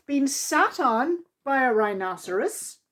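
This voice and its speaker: Woman acting out an indignant wildlife TV man, slow scolding. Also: high voice, very animated, fairly bright tone, heavily acted. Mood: furious. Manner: indignant scolding with drawn-out emphasis. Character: furious, indignant man who presents wildlife television.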